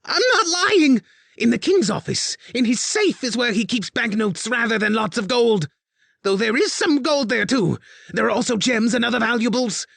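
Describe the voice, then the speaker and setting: A man's voice: sits high, wildly swooping pitch, unhurried, gravelly, pushed and bright, breathy edge, no room sound, voice pushed up, heavily acted. A man voicing a panicked male spymaster who pleads and bargains frantically for his life. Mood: pleading.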